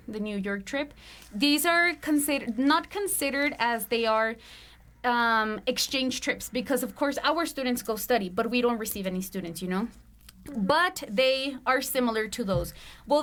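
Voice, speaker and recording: A woman's voice: a little high, fairly bright tone. A native English-speaking woman from the United States, hosting a school radio show and promoting a trip in a casual, upbeat way with frequent fillers. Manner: upbeat, peppered with "of course" and "um". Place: radio studio.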